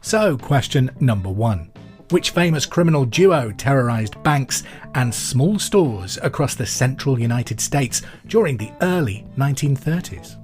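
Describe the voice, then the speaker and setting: A man's voice: medium pitch, animated, a little slow, a little mellow, faint music behind. A man with a British accent hosting a pub quiz podcast in an informal, chatty way with jokey asides.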